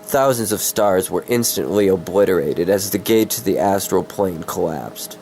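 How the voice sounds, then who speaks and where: A man with a cold doing a dramatic story reading, halting and easily distracted, with casual swearing. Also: low-pitched, gravelly, a little mellow, slight background noise, low-quality recording. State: sick with a cold.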